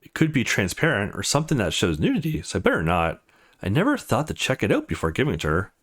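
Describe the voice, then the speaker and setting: A man's voice: lowish voice, wildly swooping pitch, fast, a bit bright, slightly rough. A man reading a visual novel aloud as a let's player, acting out the dialogue as he goes. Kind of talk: visual novel read-aloud with character voices.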